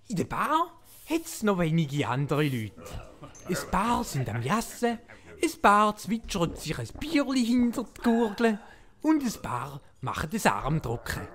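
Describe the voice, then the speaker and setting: A man's voice: on the high side, a bit bright. A man narrating a comic Swiss German audio play as a tongue-in-cheek storyteller. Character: animated, tongue-in-cheek storyteller of a folk-legend parody.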